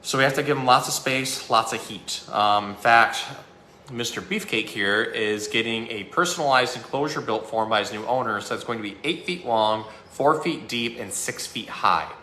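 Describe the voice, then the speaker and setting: Bright-voiced man in an echoey room. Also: mid-range voice, normal speed, slight background noise. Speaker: zookeeper presenter. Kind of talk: casual educational talk about animals. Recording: echoey, roomy sound.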